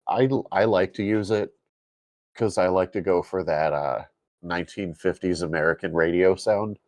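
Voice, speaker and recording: A man with a bassy voice co-hosting a casual tech podcast, amused and quick to laugh. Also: darkish tone, dry recording. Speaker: podcast co-host.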